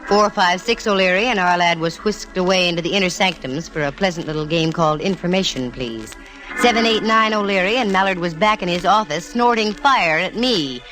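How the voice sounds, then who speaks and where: A woman's voice: fairly low pitch, a little fast, fairly bright tone, natural voice, rough recording. Actress as a wisecracking 1940s radio lady detective. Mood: wry, brisk. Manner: breezy, slangy, wry. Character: wisecracking, nervy female private eye narrating her case. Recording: old radio recording with music.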